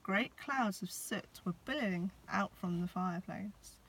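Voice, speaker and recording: Slow, expressive woman reading a storybook. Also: medium pitch, low-quality recording. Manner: dramatic pauses, addresses young listeners. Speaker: teacher reading a picture book. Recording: clear background noise.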